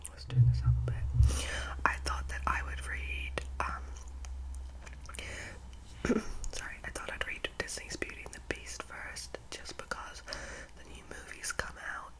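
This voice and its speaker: A young woman doing whispered ASMR, soft, informal and unhurried, speaking very close to the microphone in a breathy, unvoiced voice. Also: low-quality recording. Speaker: ASMR video creator. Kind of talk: whispered ASMR, casual chat and storybook reading.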